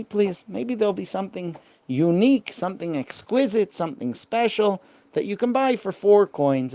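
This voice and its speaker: A woman's voice: medium pitch, warm tone, no echo, highs cut off, natural voice. A woman voicing a kindly, modest male rabbi in a children's bedtime story, speaking politely and hopefully.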